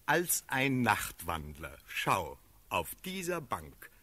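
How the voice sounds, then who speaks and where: An actor playing a brisk, sardonic count and officer in a classical German radio drama, declamatory and emphatic. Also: male voice, fairly low pitch, wildly swooping pitch, bright, forceful tone, husky, no echo, full character voice. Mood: reporting, wry.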